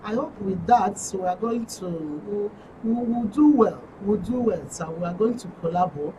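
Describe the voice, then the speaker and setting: A woman's voice: medium pitch, normal speed. Nigerian woman candidate on noisy, distant mic. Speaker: election candidate guest. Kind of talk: interview answers. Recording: distant mic with clear noise.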